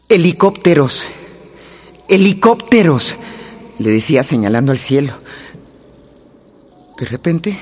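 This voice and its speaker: An actor playing a wounded young guerrilla fighter, narrating his story gravely and with measured pace in a radio play. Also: medium pitch, slight background noise. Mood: anxious. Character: wounded young male guerrilla fighter recounting an ambush and escape. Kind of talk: dramatic first-person narration in a radio play. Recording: radio drama with music underneath.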